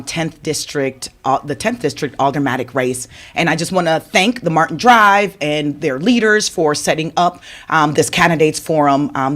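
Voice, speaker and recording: A woman's voice: deep voice, fairly bright tone. A woman from Jamaica introducing herself as a candidate at a neighborhood forum, telling a story with rhetorical asides. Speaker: aldermanic candidate. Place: community media center room.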